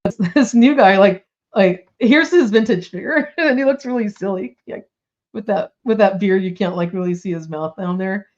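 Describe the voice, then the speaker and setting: A woman's voice: medium pitch, normal speed, a little mellow, muffled recording. A woman co-hosting a toy news podcast in casual chat, bubbly and full of fillers, coming down with a slight cold. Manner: chatty, bubbly, lots of likes and ums.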